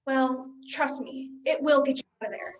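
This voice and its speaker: Insistent, exasperated sensible type, played by a teen girl; high voice, theatrical emphasis, distant pickup. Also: little pitch movement, normal speed, natural voice. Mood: coaxing. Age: teenager. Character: exasperated, insistent voice of reason coaxing a mime. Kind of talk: school one-act comedy stage acting. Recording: dull, distant school play recording.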